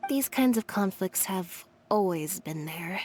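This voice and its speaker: A woman's voice: medium pitch, animated, unhurried, darkish tone, slight background noise, close to an everyday voice. An actress playing a cool, unemotional young woman who speaks tersely.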